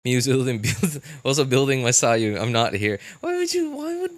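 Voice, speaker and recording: A man casually chatting as a live-stream host, answering viewers in a halting way and repeating his phrases. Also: medium pitch, no echo, clean studio sound. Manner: halting, repeats phrases, animated incredulous reactions.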